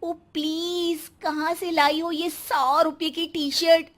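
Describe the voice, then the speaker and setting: A woman's voice: very high voice, level tone, takes it slowly. A woman voicing a snobbish, spoiled rich young daughter-in-law in a moral story, haughty and dismissive, dropping English words into her Hindi. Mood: haughty, scornful.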